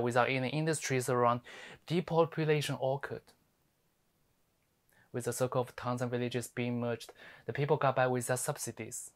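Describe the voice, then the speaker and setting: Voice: mid-range voice, natural voice. An actor playing a sardonic, fiery lawyer in a theatrical monologue, scolding with scathing rhetorical questions. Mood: quiet sardonic storytelling. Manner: scathing, rhetorical, swinging from hushed to ranting. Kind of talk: theatrical dramatic monologue performance.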